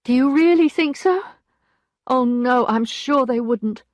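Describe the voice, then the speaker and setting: A woman's voice: a little high, unhurried, dry recording, clean studio sound, pitched above the performer's own voice, lightly acted. A woman reading an audiobook, voicing a proud young wife in distress, bitter and pleading. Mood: weary. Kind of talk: audiobook character voice.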